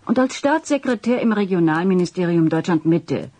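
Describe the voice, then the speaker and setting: Sly, husky-voiced news reporter. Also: female voice, fairly low pitch, animated, moderate pace. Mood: persuasive. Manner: teasing, coaxing, gently ironic. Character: sly, cheeky, persistent female TV news reporter. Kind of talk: radio drama acting.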